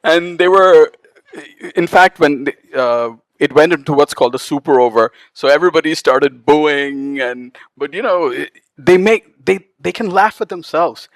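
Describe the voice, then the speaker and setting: A man talking about his travels at a book event, animated and quick to laugh. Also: fairly high pitch, no room sound. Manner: animated storyteller, laughs often. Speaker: author. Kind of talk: casual talk at a book event. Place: event hall with live audience.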